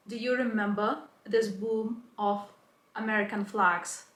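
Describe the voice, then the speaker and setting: Chatty woman doing a casual video essay, opinionated, mid pitch, echoey room. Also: steady tone, unhurried, a bit bright. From Lithuania. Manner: chatty, opinionated, mild swearing.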